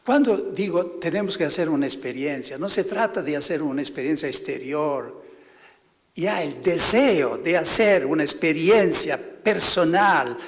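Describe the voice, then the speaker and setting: A man, a priest, giving a spiritual talk to fellow priests, halting and often stopping to search for words. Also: on the high side, animated, big-room sound.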